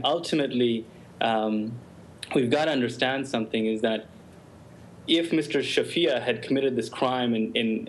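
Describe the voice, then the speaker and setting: A man's voice: mid-range voice, slight background noise, low-quality recording. A man in his thirties, an immigration lawyer arguing bluntly on a televised panel over a video call. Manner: forceful, blunt, argumentative.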